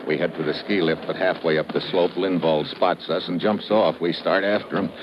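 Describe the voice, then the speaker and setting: Male actor playing a tough investigator, very low voice, clipped dry narration, noisy muffled vintage radio recording. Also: steady tone, normal speed, natural voice. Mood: terse narration. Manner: clipped, dry, hard-boiled. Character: tough wisecracking male American investigator narrating his case. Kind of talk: 1950s radio drama acting, hard-boiled narration.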